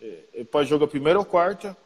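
A man's voice: a little high, animated, brisk. A man with an American accent, a native English speaker, chatting casually in a slangy way full of repeated tags. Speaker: podcast interviewer, basketball player. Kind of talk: casual chat. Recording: long reverb, roomy sound.

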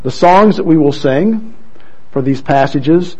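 A man introducing scripture readers at a church program, speaking in a relaxed way with light asides, on a muffled recording. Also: a little high, unhurried, breathy edge. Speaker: worship leader introducing readers. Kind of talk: church service emcee.

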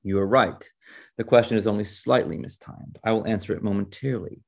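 Man reading as a measured, gravelly wise teacher. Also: on the low side, fairly even intonation, darkish tone. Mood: solemn, explanatory. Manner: measured, formal, instructive. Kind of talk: storybook reading.

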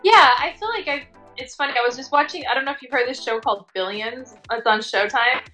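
A woman's voice: high-pitched, brisk, sharp tone, soft background music. A woman chatting casually as an interview guest, informal and sprinkled with "like" and "you know". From Tongan American. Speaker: interview guest, working fashion and commercial model.

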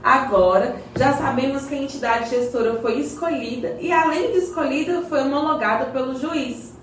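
A woman's voice: high-pitched, normal speed, lightly acted. A woman with a Brazilian accent acting a patient, knowledgeable community member in an educational radio play, explaining in a colloquial way. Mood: didactic. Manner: didactic, colloquial. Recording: roomy echo, distant mic, faint noise.

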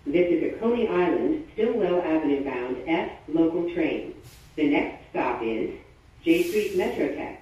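An automated-sounding train announcer reading the next stop in measured phrasing. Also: medium pitch, lively intonation, warm tone. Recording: very echoey, distant, faint background hum.

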